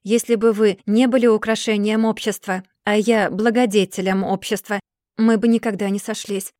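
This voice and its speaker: A woman voicing a distracted, gloomy rich gentleman who mumbles and then rants in a storybook reading. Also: mid-range voice, little pitch movement, moderate pace, dry recording, studio quality, close to an everyday voice. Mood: furious ranting. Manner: absent-minded, mumbling, then bursting into furious ranting.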